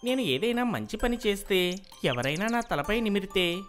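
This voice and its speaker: A woman's voice: medium pitch, animated, normal speed, close to an everyday voice. A woman as an earnest talking crow, music behind. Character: devoted male talking crow, gentle cursed human son. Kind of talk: storybook character voice.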